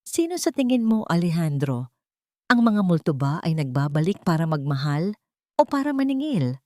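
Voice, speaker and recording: Woman acting icy, mysterious avenging woman, low-pitched. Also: sing-song, normal speed, darkish tone, no room sound, clean studio sound. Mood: cold, taunting. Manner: icy, distant, taunting. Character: mysterious cold, mocking vengeful woman, elegant and taunting. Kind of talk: audiobook melodrama.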